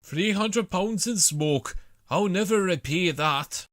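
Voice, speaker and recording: Earnest, anxious fireman read by a man, lifted pitch. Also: slow, fairly bright tone, no room sound, studio quality. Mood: despairing. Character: earnest, anxious, kindly male village fireman.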